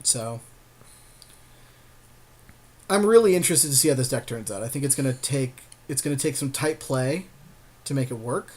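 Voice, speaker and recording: Casual, joking man with a mid-pitched voice. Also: moderate pace, pauses often, darkish tone. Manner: informal, casually profane, joking. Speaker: podcast co-host and card game player. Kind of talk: casual chat while drafting a card game.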